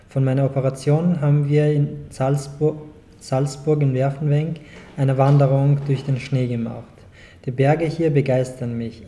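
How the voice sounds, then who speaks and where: A man in his twenties from Afghanistan, a native Dari speaker, reading his own text aloud in a calm, measured way at a panel table in a small club room. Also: mid-range voice. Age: 20s. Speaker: guest author. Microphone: table mic on a short stand.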